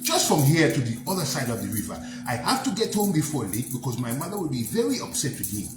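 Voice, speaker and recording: A man voicing a young girl scorpion who begs anxiously in a high pleading voice, in a storytelling recording. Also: big pitch swings, quick pace, close to an everyday voice. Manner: high, begging, breathless. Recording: roomy echo with background music.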